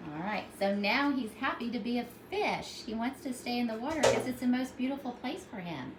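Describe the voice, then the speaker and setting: A woman's voice: on the high side, animated, a little fast, noisy background. A woman pre-K teacher reading a storybook aloud to young children, expressively and with simple explanations.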